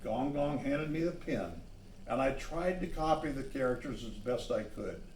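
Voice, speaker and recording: A man reading a children's story aloud, pausing to add simple asides about the pictures. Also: medium pitch, animated, darkish tone, slight background noise. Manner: pauses to point out the pictures. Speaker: storybook reader. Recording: echoey room, distant microphone.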